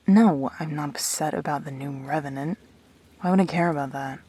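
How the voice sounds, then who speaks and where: A woman's voice: low-pitched, moderate pace, warm tone, breathy edge. A woman playing a guarded, defensive, brooding immortal in an intimate sci-fi audio drama, terse and hesitant. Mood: defensive, irritated.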